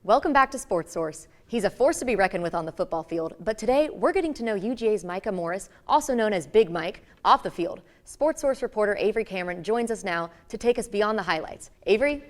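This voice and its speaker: A woman's voice: mid-range voice, animated, a little fast, few pauses. Polished young woman anchoring sports news. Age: young adult. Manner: polished, upbeat anchor delivery.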